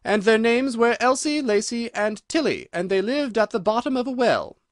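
A man voicing a sleepy, feeble little dormouse with a hoarse voice in a storybook reading. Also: very high-pitched, sing-song, moderate pace, dry recording, clean studio sound, voice pushed up. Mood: hurried storytelling.

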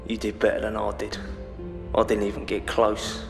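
A man's voice: lowish voice, monotone, normal speed, vocal fry, music in the background. An actor playing a bitter, cornered ex-convict father in a video game, blunt, with a London accent. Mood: defeated, bitter. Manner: blunt, embittered. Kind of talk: video game cutscene acting. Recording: long echoing reverb.

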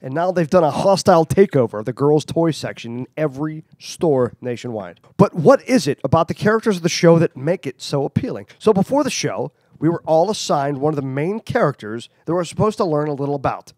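A man's voice: fairly high pitch, big pitch swings, a little fast, hardly any pauses, darkish tone, no room sound. A man hosting a podcast, asking his friends questions with a teasing, laughing manner. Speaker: podcast host. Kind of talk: joking podcast chat.

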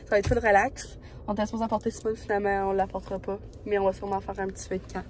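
A young woman with a Québécois French accent talks through her day in a chatty, upbeat way, filling her sentences with little asides. Her voice sits at a middling pitch and is picked up by a phone held at arm's length. A faint noise hums underneath. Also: little pitch movement, very fast, darkish tone. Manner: chatty, upbeat, rambling with fillers. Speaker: vlogger. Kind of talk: casual vlog chat. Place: bedroom and car.

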